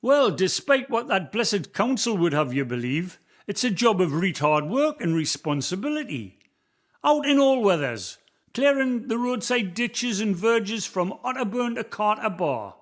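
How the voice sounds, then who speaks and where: A man's voice: high voice, very animated, a little slow. A man playing the ghost of an old road-mender poet with a Northumbrian accent, folksy and reassuring, reciting verse in a radio play. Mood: warm, folksy. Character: cheerful old roadman ghost, poetic, kindly. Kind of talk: community radio play acting.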